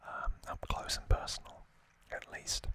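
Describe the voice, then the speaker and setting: A man hosting an unscripted ASMR unboxing in a breathy whisper, speaking in short, halting phrases with pauses. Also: bright, forceful tone. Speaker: ASMR video host.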